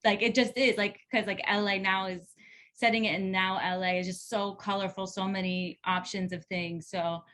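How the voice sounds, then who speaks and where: A woman with a bright, forceful voice talks in a casual group discussion. She gives feedback as an actor, enthusiastic and loose, wandering from thought to thought at a middle pitch. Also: steady tone, moderate pace. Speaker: actor giving feedback after a table read.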